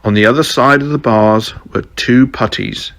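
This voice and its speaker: A man's voice: medium pitch, moderate pace, fairly bright tone. A man reading a scripted fan fiction story as narrator, acting out dialogue as he goes. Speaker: storyteller, podcast host.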